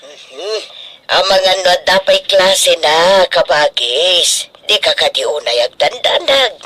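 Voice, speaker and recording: An actress with a northern Philippine accent playing a caring, reassuring middle-aged auntie in a radio drama. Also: female voice, fairly low pitch, lively intonation, slow, a bit bright.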